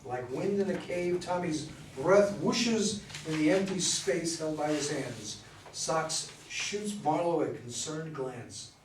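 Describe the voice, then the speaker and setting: A man's voice: fairly high pitch, slow pace. A man narrating scripted stage directions at a play reading. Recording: roomy, distant mic, faint noise.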